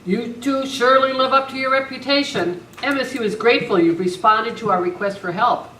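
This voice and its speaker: A woman's voice: fairly high pitch, brisk, fairly bright tone, natural voice. A woman playing an earnest, emphatic university alumni coordinator in an amateur radio script reading. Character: earnest, worried female alumni affairs coordinator. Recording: roomy, distant camera mic, faint noise.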